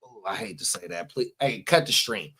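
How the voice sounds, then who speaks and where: A man's voice: mid-range voice, big pitch swings, normal speed, gravelly, sharp tone, slightly rough. A man in his forties co-hosting a casual live-stream chat, emphatic and swearing freely, repeating stock phrases. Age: 40s. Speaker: podcast co-host.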